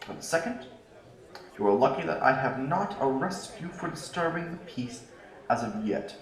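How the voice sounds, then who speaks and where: A man's voice: mid-range voice, animated, normal speed, close to an everyday voice. A man playing a haughty, paranoid revolutionary politician in a sci-fi audio drama, speaking in formal, pompous oratory. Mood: stern, officious. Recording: roomy, echoing sound.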